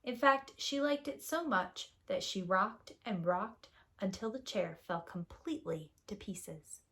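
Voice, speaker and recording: A woman reading a storybook aloud to young children as a preschool teacher, with expressive, emphatic storytelling. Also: medium pitch, unhurried.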